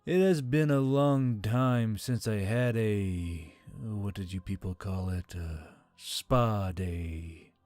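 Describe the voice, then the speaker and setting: A man's voice: on the low side, slow pace, darkish tone, no room sound. A man voicing an ancient male spirit in a talking necklace, formal, archaic and wry, in an audio story. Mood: dry, courteous. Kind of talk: audio fiction character acting. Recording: background music under the voice.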